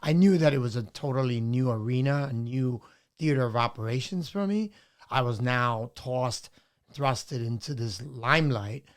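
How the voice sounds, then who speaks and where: A man in his sixties from Puerto Rico, raised in New York, reflecting on his life as an interview guest. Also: mid-range voice. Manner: measured, reflective, with many fillers. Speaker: guest artist. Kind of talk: reflective storytelling interview answers.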